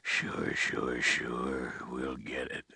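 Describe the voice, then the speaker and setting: A delirious, dying man mutters in a flat, very husky croak. The voice is extremely low and harsh, on a vintage radio drama recording. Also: very slow, dry recording, full character voice. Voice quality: harsh gravelly rasp. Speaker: actor in a radio suspense drama.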